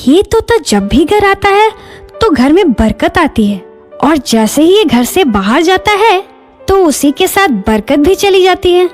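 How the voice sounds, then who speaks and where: A woman playing an anxious, easily irritated village housewife in a moral story, expressive and exclaiming. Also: very high-pitched, measured pace, faint music behind, heavily acted. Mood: pondering. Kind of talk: dramatized moral story dialogue.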